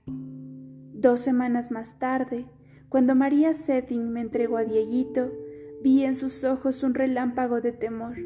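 A woman with a dark, mellow voice, pitched a little high, reads a letter aloud slowly and wistfully. Quiet guitar plays faintly behind her as she narrates. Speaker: reader of a literary letter. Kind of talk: scripted audiobook reading.

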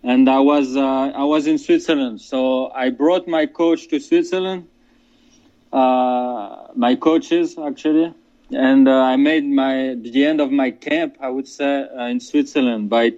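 A man from France, a fighter answering interview questions in halting, casual speech full of restarts and fillers. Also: medium pitch, steady tone, darkish tone, dull audio. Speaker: MMA fighter.

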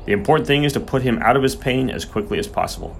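A man's voice: mid-range voice, animated, fast, creaky, slight background noise, voice pushed up. A man voicing an earnest country vet in an audiobook, polite and firm but uneasy. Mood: grave, resolute.

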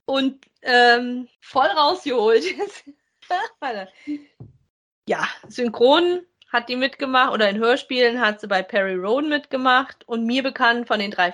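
A woman's voice: fairly high pitch, fairly bright tone. A woman chats casually as a podcast co-host, talking in an effusive, enthusiastic way like a devoted fan. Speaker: co-host of a podcast about radio plays. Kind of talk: casual conversational chat.

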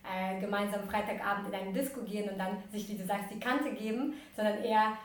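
A woman's voice: mid-range voice, fairly even intonation, brisk. Young woman, from Ukraine, podcast guest, chatty, fillers and restarts, far from mic, very echoey room. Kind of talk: casual interview talk.

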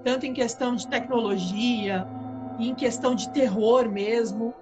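A woman's voice: fairly high pitch, measured pace, over music. A woman hosting a casual live chat with channel supporters, telling stories in a conversational way with frequent restarts. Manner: conversational, hesitant restarts, storytelling. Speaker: channel host.

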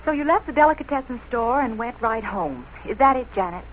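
Woman as coaxing, persistent questioner with lively intonation. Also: fairly high pitch, brisk, over loud music, lightly acted. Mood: gentle, probing. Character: sympathetic but probing woman questioner, coolly persistent. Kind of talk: 1940s American radio crime drama acting. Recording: noisy vintage radio recording.

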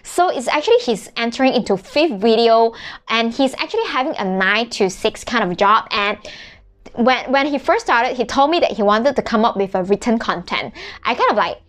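A woman's voice: a little high, expressive, normal speed. A woman in her thirties, a native Mandarin speaker from Malaysia, chatting casually in a marketing vlog with many restarts and tag questions. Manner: chatty, frequent "right?" and restarts. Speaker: vlogger.

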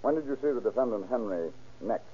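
Probing prosecutor, husky, medium pitch, deliberate, formal, vintage radio courtroom. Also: male voice, expressive. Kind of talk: 1930s radio courtroom drama acting. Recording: tinny old radio recording.

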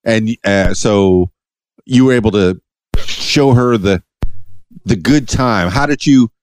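A man's voice: fairly low pitch, slow, darkish tone, soft background music. A male podcast host from Miami, chatting casually and teasing his guests with lots of questions. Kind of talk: casual interview chat.

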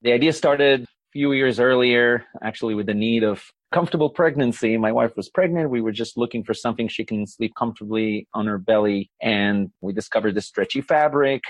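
Man in his forties, earnest and explanatory, mid-pitched voice, dry sound. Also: fairly quick, few pauses, no echo. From Israel. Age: 40s. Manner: earnest, explanatory, laughs easily. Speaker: guest, company founder. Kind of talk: conversational interview.

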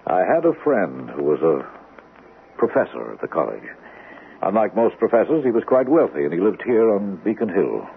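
Smooth probing doctor, low swooping voice. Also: male voice, big pitch swings, full character voice. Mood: grave, veiled. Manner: measured, deliberate, insinuating, dramatic pauses. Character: suave, probing male doctor with veiled menace. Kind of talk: old-time radio mystery acting. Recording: tinny band-limited vintage radio, faint hiss.

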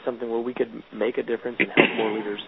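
A man's voice: mid-range voice, little pitch movement, racing, gravelly. A man interviewed as a guest author, explaining earnestly and often restarting his sentences. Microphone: phone. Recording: tinny phone line with faint hiss.

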